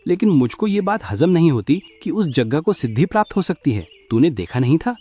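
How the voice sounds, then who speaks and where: Cartoon animal sidekick, male actor, highish male pitch, dark mellow tone, suspicious, questioning, conspiratorial. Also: big pitch swings, brisk, breathy edge. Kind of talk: Hindi cartoon story acting.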